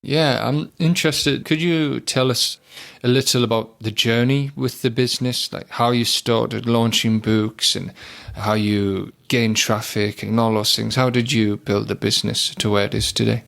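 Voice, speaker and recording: Casting note: male podcast host, gravelly, upbeat and businesslike. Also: mid-range voice, steady tone, a little slow, a bit bright. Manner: upbeat, reads listing details. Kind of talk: scripted podcast interview.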